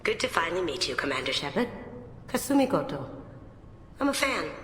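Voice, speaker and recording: Playful young female master thief, coy and confident, bright voice in a reverberant space. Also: lowish voice, big pitch swings, brisk. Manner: coy, wry, teasing. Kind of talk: video game voice acting. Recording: roomy reverberant sound, clear background noise.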